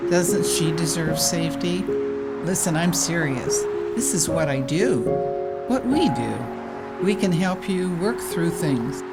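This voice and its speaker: A woman's voice: fairly low pitch, animated, takes it slowly, loud music behind the voice. A woman playing a kind, earnest, reassuring religious sister in an audio drama.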